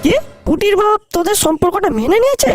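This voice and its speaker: A woman's voice: very high-pitched, expressive, fast. A woman voicing a blunt, gossipy young male friend, slangy and emphatic in a comic cartoon. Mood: surprised. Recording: background music under voice.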